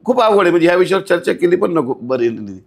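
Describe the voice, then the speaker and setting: A man's voice: high voice, sing-song, fast talker, vocal fry, breathy edge. A man speaks as an outspoken guest in a podcast interview. He is blunt and indignant, scolding and throwing out rhetorical questions as he goes. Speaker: outspoken podcast guest commenting on local civic issues.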